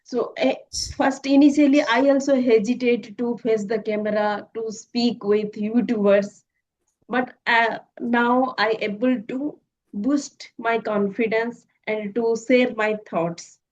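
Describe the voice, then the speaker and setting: A woman asks questions in a casual podcast chat, her voice a little high. She speaks in halting, hesitant full of fillers, and the audio sounds dull and lo-fi. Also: steady tone. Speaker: former teacher, podcast interviewer.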